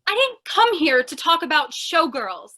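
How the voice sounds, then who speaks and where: A woman's voice: high voice, sing-song, unhurried, sharp tone, exaggerated voice. A woman playing a feisty, impatient young would-be reporter in an old-time radio comedy, insistent and theatrical. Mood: bossy, exasperated. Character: feisty, pushy young female aspiring reporter, impatient fiancée.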